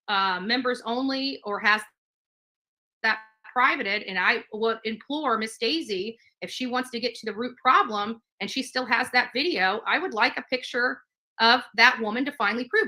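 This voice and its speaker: A woman with a fairly high, very bright and forceful voice hosts a livestream rant. She speaks combatively and emphatically, hammering points home by repeating phrases. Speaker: livestream host. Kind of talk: livestream commentary rant to viewers.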